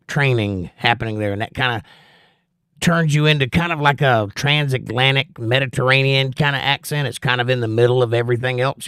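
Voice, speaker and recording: A middle-aged man with a softened Georgia accent hosts a casual livestream, reading viewer comments aloud and answering them in a relaxed, conversational way. His voice sounds strained. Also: medium pitch, clean tone, dry recording, clean studio sound. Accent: softened Southern US (Georgia). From Georgia, USA. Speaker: livestream host reading chat.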